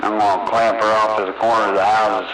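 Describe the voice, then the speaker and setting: A man's voice: lowish voice, little pitch movement, quick pace, a bit bright. A man chatting casually and in a folksy way over CB radio. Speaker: CB radio operator. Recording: noisy CB radio transmission.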